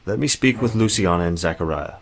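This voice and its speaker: A man playing courteous, low-voiced, eager young mage. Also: expressive, fairly quick, a little hiss or hum. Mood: understanding. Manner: polite, slightly formal, earnest. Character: emotional, courteous, eager young male magic student. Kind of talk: visual novel character acting. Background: music.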